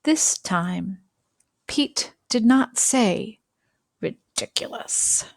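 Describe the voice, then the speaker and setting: A woman reading a children's picture book aloud with expressive, dramatic phrasing and deliberate pauses. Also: fairly high pitch, dry recording, studio quality. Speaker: storybook reader.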